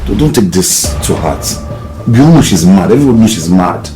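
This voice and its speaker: Lowish, exasperated Nigerian man. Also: lively intonation, moderate pace, mellow. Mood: pleading. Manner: pleading, repetitive, urgent. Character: exasperated man trying to calm a household quarrel. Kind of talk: melodramatic film acting.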